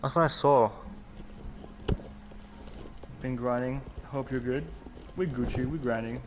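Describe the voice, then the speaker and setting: A man streams live as he walks outdoors in wind and rain, chatting to his viewers in a loose, slangy way. Clear outdoor noise runs under his voice, caught on a mobile camera mic. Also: mid-range voice, moderate pace. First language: not Swedish. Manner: chatty, slangy, talks to chat. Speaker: livestreamer. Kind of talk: casual live-stream chat while walking.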